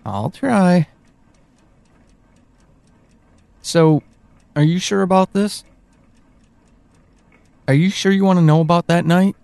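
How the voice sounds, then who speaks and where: A man playing a teasing, evasive confidant in an audio drama, speaking slowly and deliberately with dramatic pauses. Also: high-pitched, vocal fry, a little mellow, no room sound. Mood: reassuring, cautious. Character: teasing, evasive confidant guiding someone through dark memories. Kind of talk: audio drama acting, intimate monologue and dialogue.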